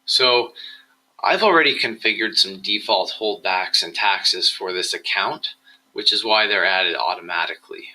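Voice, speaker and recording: Bright, crisp-edged man narrating a software tutorial. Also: sits low, unhurried, rough recording. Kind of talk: instructional screencast narration.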